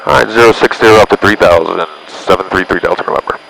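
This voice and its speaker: A man's voice: low-pitched, quick pace, creaky. A man who is a pilot, chatting informally and explaining things to a passenger between radio readbacks, on a cockpit headset. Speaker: pilot and video host. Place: inside small plane cockpit in flight. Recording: noisy small aircraft cockpit. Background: aircraft engine noise.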